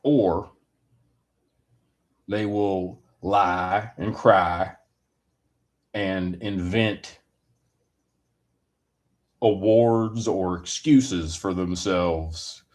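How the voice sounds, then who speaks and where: Man, low voice, very slow pace, deliberate halting delivery, wordy formal phrasing, opinion monologue. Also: fairly even intonation, darkish tone. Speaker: commentator giving his personal opinion.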